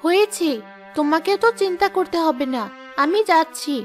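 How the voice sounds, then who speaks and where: A woman's voice: very high voice, moderate pace. A woman voicing a hardworking, worried village wife and mother in a cartoon, earnest and caring. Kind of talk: Bengali fairy-tale cartoon voice acting. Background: music.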